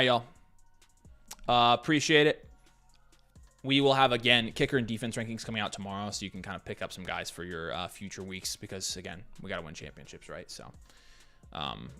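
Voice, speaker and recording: An American man hosting a live fantasy football Q&A, casually thinking aloud as he reads questions and gives quick picks. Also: on the low side, gravelly, sharp tone. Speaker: fantasy football livestream host.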